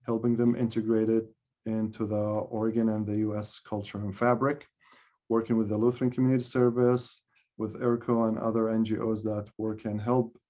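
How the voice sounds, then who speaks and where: A man's voice: lowish voice, dark tone. A man in his thirties from Palestine, opening an online event as facilitator in an upbeat, chatty way with light jokes. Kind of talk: friendly event introduction. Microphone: video call. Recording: dull lo-fi call audio.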